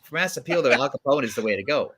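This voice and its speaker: A man's voice: medium pitch, lively intonation, quick pace, a loud crowd. A man hosting a casual livestream panel conversation among several guests. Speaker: guest host of a livestream show.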